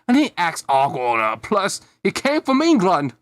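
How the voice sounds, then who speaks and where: A man's voice: high voice, huge pitch swings, a little slow, fairly bright tone, dry recording, exaggerated voice. A man voicing a sweet, sassy little leek girl with a girlish, chatty manner in a cartoon fan story.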